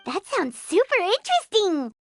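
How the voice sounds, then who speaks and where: A woman voicing a tiny, eager fairy companion from an anime game, childlike, squeaky and full of curious exclamations. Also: huge pitch swings, moderate pace, exaggerated voice. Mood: surprised, curious.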